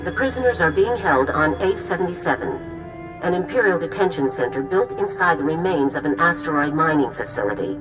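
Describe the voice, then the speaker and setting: A woman playing a composed military general, giving formal, measured briefings in a video game cutscene. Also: sits low, animated, over music, rough recording. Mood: composed, businesslike.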